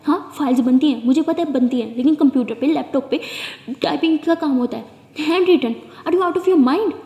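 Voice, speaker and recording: A woman voicing a fiery, protective young woman who scolds loudly and fast, with a high voice in a roomy, echoing space. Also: lo-fi sound, close to an everyday voice. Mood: furious. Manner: scolding, loud and rapid-fire when angry. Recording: roomy echo with long reverb.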